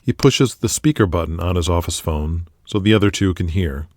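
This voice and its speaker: A man narrating an audio drama in a steady, matter-of-fact way. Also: low voice, moderate pace, a little hiss or hum. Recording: music bed under the voice.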